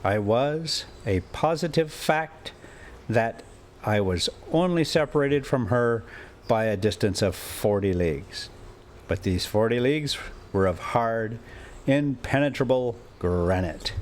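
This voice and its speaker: Male storyteller, slow pace, highly animated pitch, dramatic deliberate phrasing, faint background ambience. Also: a little hiss or hum. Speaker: storyteller and host reading a classic novel aloud. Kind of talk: expressive amateur audiobook narration with casual asides.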